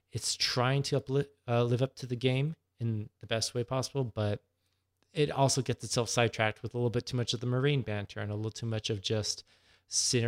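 A man co-hosting a casual movie discussion, offering his views tentatively and with some self-deprecation. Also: medium pitch. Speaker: film podcast co-host.

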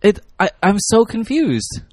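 A man chatting casually as a podcast co-host, wry and animated, quick to poke fun. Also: sits high, husky, no room sound. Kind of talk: casual chat.

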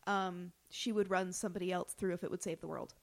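A woman's voice: lowish voice, steady tone, fairly quick. A woman co-hosting a podcast, casually discussing a television show in conversation. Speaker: podcast co-host.

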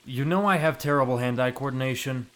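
A man playing an excitable, slightly whiny thirty-something sidekick animal cop in a comic audio drama. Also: mid-range voice, fairly quick, slight background noise, lightly acted. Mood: grumbling.

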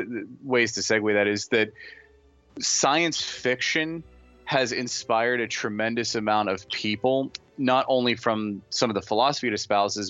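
A man's voice: fairly low pitch, pushed and bright, no echo, dull audio. A man hosting a casual chat, steering toward lighter topics in a self-deprecating way.

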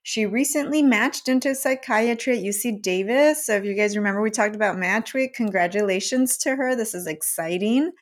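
A woman's voice: medium pitch, fairly quick, hardly any pauses. A woman hosts an interview podcast in a mid-range voice. She sounds upbeat and encouraging as she introduces her guest and asks questions. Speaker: podcast host.

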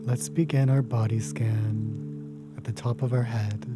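Prominent instrumental music surrounds a man guiding a meditation. His delivery is very even and level in pitch. He speaks gently and without hurry, leaving long pauses between short phrases. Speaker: meditation guide. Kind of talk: guided meditation narration. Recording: music behind the voice. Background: prominent sound bath instrumental music.

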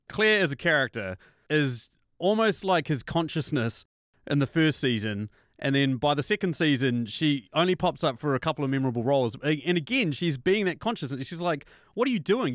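A young man with a New Zealand accent in casual chat, rambling, animated and swearing freely. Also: on the high side, no room sound, clean studio sound.

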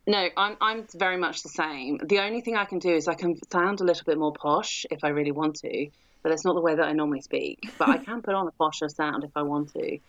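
A woman's voice: low-pitched, quick pace, no room sound. A woman chatting casually about a film as a co-host, explaining her points in a considered way, on a slightly dull microphone. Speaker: film discussion podcast host. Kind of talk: casual chat, film discussion.

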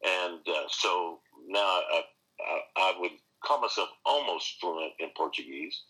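A pastor and seminary teacher answering interview questions earnestly, with frequent restarts and rising emphasis. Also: male voice, deep voice, a little slow, pushed and bright, breathy edge, low-quality recording.

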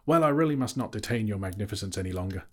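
A man voicing a small, timid but plucky male hobbit in a storybook, nervously polite with flowery flattery. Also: fairly low pitch, rapid-fire. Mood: nervous, cheeky. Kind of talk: audiobook character voice.

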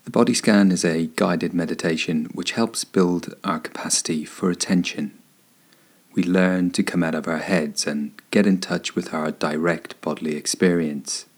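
A man guiding a body scan meditation in a gentle, soothing, unhurried way, pausing between phrases. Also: low-pitched. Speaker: meditation guide.

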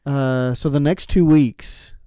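A man co-hosting a church podcast in casual chat, with a dry, teasing wit and quick jokey asides. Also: mid-range voice, steady tone, warm tone, clean tone, no echo. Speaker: podcast co-host.

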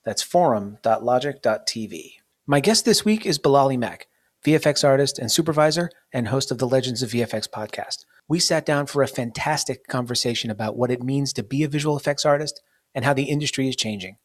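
Man, 40s, podcast host, mid-pitched, rambling, self-deprecating. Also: expressive, fairly quick. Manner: rambling, self-mocking, many fillers. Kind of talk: casual interview chat.

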